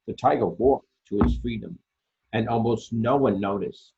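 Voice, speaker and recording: A man reading children's picture books aloud as a storytime host, expressively and deliberately, with dramatic pauses and a husky voice. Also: mid-range voice, highs cut off, background noise. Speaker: storytime reader for a library.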